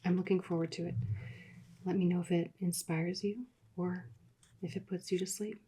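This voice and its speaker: A woman reading aloud in a soft, soothing, soft-spoken ASMR style that often drops into whispers. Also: fairly low pitch, steady tone, breathy edge. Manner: soft, slow, drifting into whispers. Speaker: ASMR reader.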